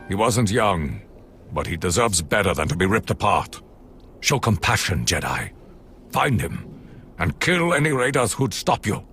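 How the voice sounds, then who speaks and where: A man's voice: low voice, a bit bright, full character voice. An actor playing a grieving, bitter villager in a video game, grave and reproachful as he pleads for help. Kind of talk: video game dialogue acting.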